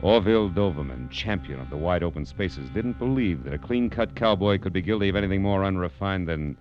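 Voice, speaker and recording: An actor playing a wisecracking, sardonic American private detective in an old-time radio drama. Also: male voice, low voice, lively intonation, fairly quick, soft background music. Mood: wry narration. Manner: dry, sardonic, slangy. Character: wisecracking, hard-boiled male private detective. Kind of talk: 1940s radio detective drama acting with first-person narration. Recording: dull, lo-fi vintage radio recording.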